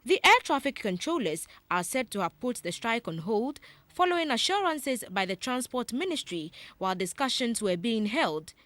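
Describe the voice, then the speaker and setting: A woman's voice: fairly high pitch, sing-song, normal speed, a bit bright, dry recording. A woman with a Ghanaian accent reading a scripted television news report.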